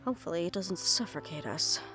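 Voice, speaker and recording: A woman's voice: lowish voice, lively intonation, brisk, over music, close to an everyday voice. A woman playing a composed but anxious mafia boss's right-hand assistant in an intimate audio roleplay. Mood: businesslike.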